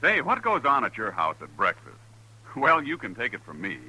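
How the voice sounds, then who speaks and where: A man's voice: mid-range voice, huge pitch swings, normal speed, noisy background. A man announcing a vintage radio commercial with punchy, folksy salesmanship.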